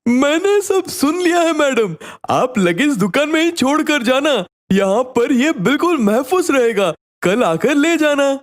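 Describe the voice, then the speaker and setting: A man voicing an eager, stubborn shopkeeper in an animated story, with an emphatic, theatrical manner. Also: very high-pitched, a little slow, hardly any pauses, full character voice. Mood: eager, obliging.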